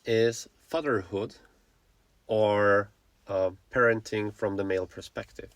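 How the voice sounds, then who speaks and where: A man's voice: lowish voice, steady tone, unhurried, no echo. A man in his forties with an Austrian accent, a native German speaker, co-hosting a casual podcast in a frank, informal way, often searching for words. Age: 40s. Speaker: podcast co-host.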